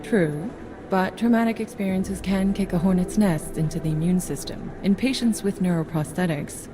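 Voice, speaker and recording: An actress playing a composed, straight-talking agency doctor in a video game, measured, dry and probing. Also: female voice, lowish voice, music in the background, natural voice. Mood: calm concern. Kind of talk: video game cutscene acting.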